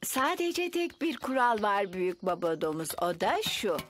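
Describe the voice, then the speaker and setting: A woman voicing a sensible, gentle mother pig in a children's cartoon, motherly and matter-of-fact. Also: mid-range voice, big pitch swings, moderate pace.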